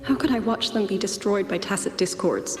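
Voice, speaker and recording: An actress playing a solemn woman warrior in a video game, speaking in a grave, measured, sorrowful way. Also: medium pitch, close to an everyday voice. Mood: grave resolve. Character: solemn woman warrior sworn to avenge her homeland. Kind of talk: dramatic game voice acting. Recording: echoing long reverb under music.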